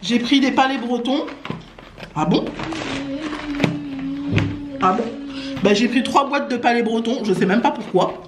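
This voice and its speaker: A woman's voice: fairly high pitch, unhurried, long pauses, loud music behind the voice. Casual woman vlogger in an echoey room. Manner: informal, slangy. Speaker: family vlogger. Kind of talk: casual haul vlog chat. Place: indoor living room at home. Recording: echoey room, clear noise, clicks.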